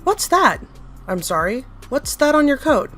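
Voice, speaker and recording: A woman's voice: high-pitched, measured pace, a little hiss or hum, voice pushed up, exaggerated voice. A woman doing a fanatical creature, childlike turned menacing. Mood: odd. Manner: flat and odd, then zealous and threatening. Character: eerie male creation of a strange god, childlike then fanatical.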